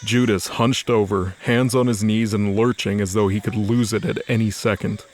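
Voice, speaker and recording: Lowish-voiced man narrating a story. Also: steady tone, moderate pace, a little mellow.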